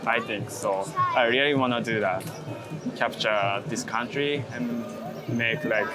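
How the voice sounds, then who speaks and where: A man's voice: medium pitch, a little slow, over loud music. A man from Japan with a Japanese accent, talking casually in an interview and often searching for English words. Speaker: interview guest, street photographer. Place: outdoors in a busy city park. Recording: outdoor city bustle with traffic.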